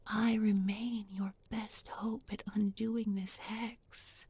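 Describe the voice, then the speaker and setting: A woman's voice: mid-range voice, little pitch movement, dark tone, breathy edge, exaggerated voice. A woman playing a bookish village beauty turned sly, menacing captor in an intimate audio roleplay, speaking with mock tenderness and often dropping to a whisper. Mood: sly, possessive. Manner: deliberate, coaxing, mock-tender with pointed irony. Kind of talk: intimate audio roleplay spoken to one listener.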